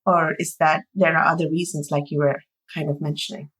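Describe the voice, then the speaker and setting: A woman's voice: deep voice, little pitch movement, a little fast, a bit bright. A woman hosting a podcast, chatty, asking long rambling questions. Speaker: podcast host. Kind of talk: casual interview chat.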